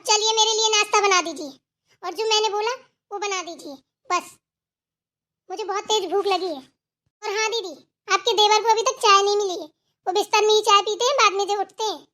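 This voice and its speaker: Woman playing a very high-pitched bratty young daughter-in-law. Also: a little fast, pauses often, sharp tone. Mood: demanding. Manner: argumentative, whiny, entitled. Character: spoiled, defiant young city daughter-in-law, demanding and entitled. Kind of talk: cartoon family drama dialogue.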